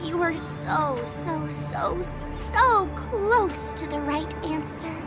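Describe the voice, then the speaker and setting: A playful, smug young female trickster for a video game. Her voice is extremely high and swoops around, with slow, teasing, singsong phrasing. Loud music plays behind her. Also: animated, exaggerated voice. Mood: mock pity, teasing. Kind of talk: video game English dub acting.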